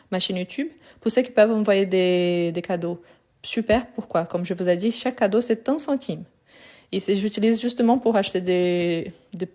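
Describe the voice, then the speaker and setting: Mellow-voiced woman teaching French. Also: medium pitch. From France and Brazil. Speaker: French teacher hosting a live class. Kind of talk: informal livestream lesson talk.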